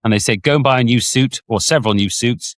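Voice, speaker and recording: A man, a podcast co-host and former cruise director, reminiscing in a casual, animated way. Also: medium pitch, fast talker, dry recording, clean studio sound. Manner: animated storyteller, puts on voices when quoting.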